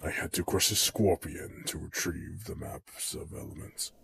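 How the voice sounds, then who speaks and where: A man's voice: sits low, normal speed, vocal fry, noisy background. A man playing a cold, proud and merciless ninja assassin in an audio drama, stern and menacing. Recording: echoey room, music behind.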